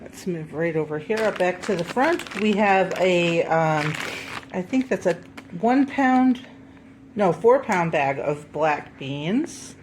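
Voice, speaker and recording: Stuffed-up woman homesteader for a laid-back grocery haul vlog; slow, rambling, self-correcting, light room noise. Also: on the low side, lively intonation, darkish tone. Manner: chatty, with many ums and self-corrections. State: stuffed up from a head cold. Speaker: homesteading vlogger.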